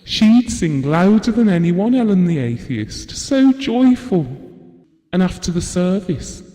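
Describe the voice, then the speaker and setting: A man's voice: high voice, very animated, measured pace, warm tone, in a large hall. An actor playing a devoted, wistful husband who reminisces tenderly and reflectively about his marriage in an intimate audio drama. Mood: fond, wistful.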